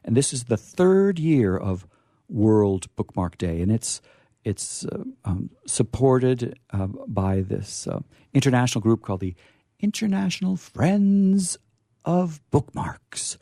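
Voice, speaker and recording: A man's voice: medium pitch, very animated, slow pace, creaky, dark tone, no room sound, studio quality. A man hosting an informal live talk, hesitant and repeating his phrases, with playfully formal turns. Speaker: library staffer hosting a discussion.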